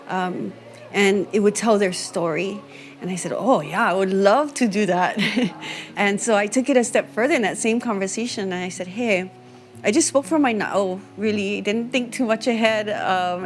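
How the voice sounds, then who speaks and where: A woman from Hawaii who leads music workshops, speaking reflectively in a documentary interview and mixing Hawaiian words into her English. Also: mid-range voice. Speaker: music teacher and workshop leader.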